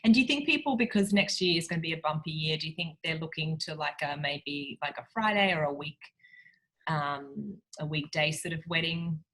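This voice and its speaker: A woman's voice: deep voice, fairly quick, fairly bright tone, rough recording. A woman hosting a casual video call interview, hedging her questions with lots of "I guess" and "sort of". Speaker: host.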